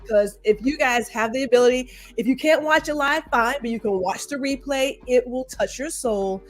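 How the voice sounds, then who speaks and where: A woman's voice: fairly high pitch, moderate pace, faint music behind. A woman hosting a live stream, chatting casually, laughing often and reading viewer comments aloud. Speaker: livestream show host.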